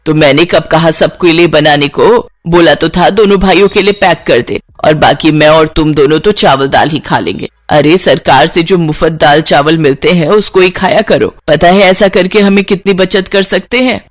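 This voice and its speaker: A woman's voice: deep voice, normal speed, hardly any pauses, lightly acted. A woman voicing a stingy, scolding older Indian mother-in-law in a bossy, nagging way for a story. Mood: defensive, thrifty.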